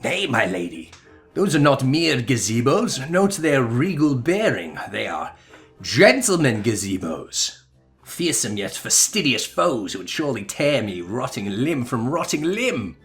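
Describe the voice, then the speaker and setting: Man acting a solemn, animated gentleman adventurer. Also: mid-range voice, a little slow. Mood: grave, dramatic. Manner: formal, melodramatic. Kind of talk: melodramatic game dialogue reading. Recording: music behind the voice.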